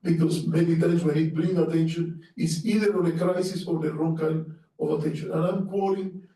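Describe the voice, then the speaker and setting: Cuban accent guy giving a seminar talk, mellow voice, sounds far from the mic in a big echoey room. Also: on the high side, steady tone. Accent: Cuban Spanish. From Cuba. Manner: earnest, halting with restarts and fillers. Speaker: panelist.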